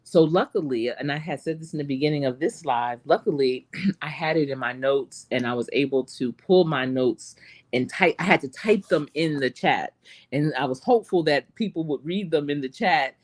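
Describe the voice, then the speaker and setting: A woman's voice: sits low, normal speed. A middle-aged woman with a Charlotte accent, a life coach chatting casually to live stream viewers and telling stories with lots of laughter. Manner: animated storytelling with frequent laughs.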